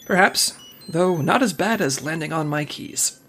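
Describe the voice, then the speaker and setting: A woman's voice: deep voice, lively intonation, measured pace, a bit bright, husky, exaggerated voice. A woman playing a prim, dry secret agent who speaks precisely and formally in an audio comedy drama. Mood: dry, reconciled.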